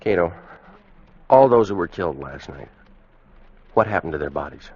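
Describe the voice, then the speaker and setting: A man's voice: sits low, lively intonation. A man playing a weakened, fearful American sailor, giving a grave, haunted first-person account in a radio drama. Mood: weary, uneasy. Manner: grave, haunted, measured storytelling. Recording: muffled vintage radio recording, music behind. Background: dramatic music.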